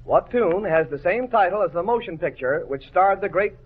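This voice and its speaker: A man's voice: sits high, lively intonation, normal speed. A man hosting an old-time radio quiz show with jovial, wordy, mock-formal banter. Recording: tinny vintage radio broadcast.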